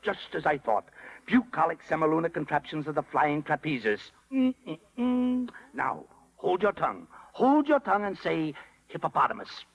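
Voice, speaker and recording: A man playing a sly, hammy fox swindler with a raspy voice, pompous and falsely kind, in a 1930s radio drama. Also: a little high, sing-song, normal speed, highs cut off. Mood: mock concern. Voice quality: gravelly, raspy. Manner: theatrical, pompous, cackling. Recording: old 1930s radio recording.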